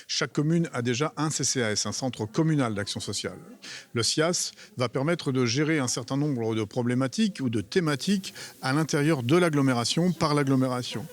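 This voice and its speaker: A man giving measured explanatory interview answers as a local official, hesitating and repeating words as he searches for phrasing. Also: mid-range voice.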